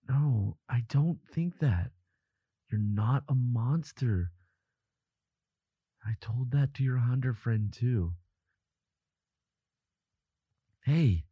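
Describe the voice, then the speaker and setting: Breathy, slow-talking devoted boyfriend played by a man. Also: medium pitch, no room sound, lo-fi sound, full character voice. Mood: earnest, gentle. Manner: halting, hushed, earnest reassurance. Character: devoted, protective young boyfriend, tender and earnest. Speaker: audio roleplay voice actor. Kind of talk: intimate boyfriend roleplay audio drama.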